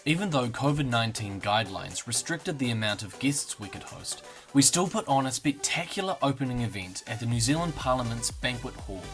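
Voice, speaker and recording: Male narrator for a promo clip, smooth upbeat scripted read over soft background music. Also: medium pitch.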